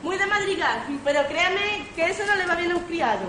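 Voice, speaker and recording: A woman's voice: very high-pitched, expressive, a little fast, sharp tone, heavily acted. A woman playing a proud young lady, haughty and cutting, in an amateur radio farce. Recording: echoey, noisy amateur recording.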